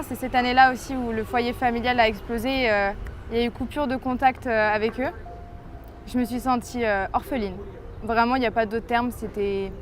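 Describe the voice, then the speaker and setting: A woman's voice: a little high, slight background noise. Young woman, earnest personal testimony. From France. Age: 20s. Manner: earnest, hesitant, reflective storytelling. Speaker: person giving a faith testimony. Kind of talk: personal testimony, told to camera.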